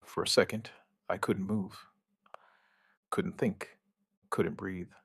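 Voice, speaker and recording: An actor playing a shaken outbreak survivor, giving his account in halting, somber, dramatic narration with short deliberate phrases. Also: low-pitched, steady tone, gravelly, breathy edge, no room sound, studio quality, full character voice. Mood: grim, haunted. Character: shaken male outbreak survivor recounting events, dryly stubborn. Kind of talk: dramatic first-person scripted narration, witness account.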